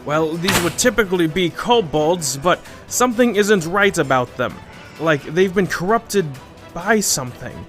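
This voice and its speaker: A man playing a dry, learned monster hunter in an audio drama, with a measured and wry delivery. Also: sits high, fairly bright tone. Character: dry, knowing male monster hunter with crossbow. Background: background music.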